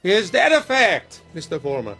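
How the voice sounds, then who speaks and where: A cool, probing stranger questioning a demagogue. His voice is high and lively in pitch, with music behind him. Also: moderate pace, vocal fry, slightly rough, exaggerated voice.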